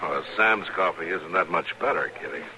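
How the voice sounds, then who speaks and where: An actor playing a steady, stern American frontier marshal, laconic and firm, in old-time radio western style. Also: male voice, low-pitched, moderate pace, full character voice. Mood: easy, friendly. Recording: noisy old radio drama recording.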